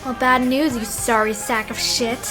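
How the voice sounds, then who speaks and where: A woman's voice: on the high side, steady tone, normal speed, natural voice. A woman playing a cocky, foul-mouthed ten-year-old girl vigilante in a comic dub, with a taunting, bossy manner. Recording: background music under the voice.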